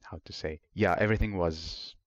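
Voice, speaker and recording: A man in his twenties with an Iraqi Arabic accent, a native Arabic speaker, telling his own story as a podcast host in a solo monologue, earnest and reflective with frequent pauses. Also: sits low, fairly even intonation, no echo, highs cut off. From Iraq. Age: 20s.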